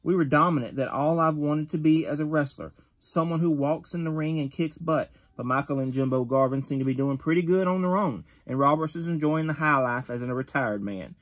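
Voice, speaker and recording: A man in his thirties reading a wrestling magazine interview aloud at home, gruff and tough-talking, with the odd stumble, recorded on a phone camera at close range. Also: fairly high pitch, a little fast, few pauses. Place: bedroom with shelves of collectibles.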